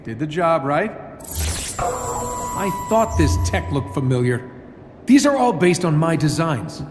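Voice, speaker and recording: An actor playing a cocky, wisecracking armored superhero inventor in a video game, with an American accent and glib, sarcastic banter. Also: male voice, fairly high pitch, very animated, slow pace. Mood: breezy, smug. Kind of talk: cinematic video game voice acting. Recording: loud music behind the voice.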